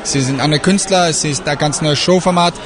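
A man's voice: fairly high pitch, fairly quick. A man from Austria, an event organizer, talking eagerly with many fillers in an interview at a trade fair. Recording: crowd noise behind.

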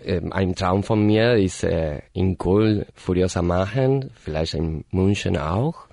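Man in his thirties, deep and unhurried, hesitant German, casual interview guest. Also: vocal fry, a little mellow, no room sound, studio quality. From Argentina. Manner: halting non-native German, many fillers and self-corrections. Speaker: guest, party organizer.

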